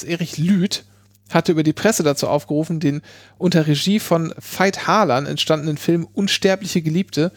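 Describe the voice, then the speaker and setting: A man's voice: on the high side, no room sound. A man chatting casually, explaining legal points with many fillers and restarts. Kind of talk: casual chat explaining law.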